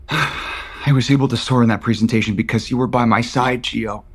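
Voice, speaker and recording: An actor as an earnest, husky-voiced romantic man. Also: medium pitch, steady tone, moderate pace, lightly acted. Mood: earnest, tender. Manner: earnest, tender, emotionally open. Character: earnest, lovestruck, nervous man baring his heart. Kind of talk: audio soap opera acting.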